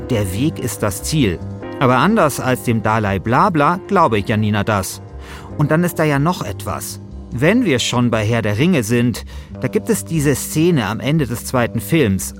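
A man hosting a podcast, moving between scripted narration and casual chat. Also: mid-range voice. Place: small radio studio. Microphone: studio microphone.

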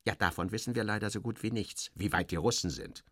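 A man's voice: lowish voice, fast talker, a bit bright, dry recording, studio quality. A man playing a fussy, obsequious station director, an officious bureaucrat who hesitates and corrects himself, in a satirical audio reading. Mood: pedantic explaining.

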